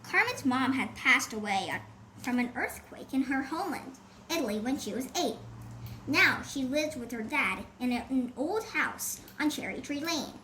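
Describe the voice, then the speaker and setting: A woman's voice: high-pitched, animated, moderate pace, sharp tone. A woman reading a scripted children's adventure story as an audiobook narrator. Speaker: audiobook author and reader. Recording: faint background noise.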